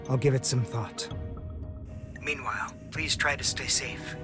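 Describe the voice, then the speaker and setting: A man's voice: mid-range voice, measured pace, music in the background, natural voice. A man playing an earnest, courteous, worried leader in a video game. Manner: polite, formal. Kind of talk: English game voice acting.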